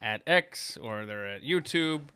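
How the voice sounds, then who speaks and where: A man's voice: medium pitch, expressive, unhurried, sharp tone, clear, resonant tone. A tired man hosting a podcast, chatting informally and bantering with his guests in a studio. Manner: informal, bantering, calls people bro. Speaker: podcast host. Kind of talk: casual chat.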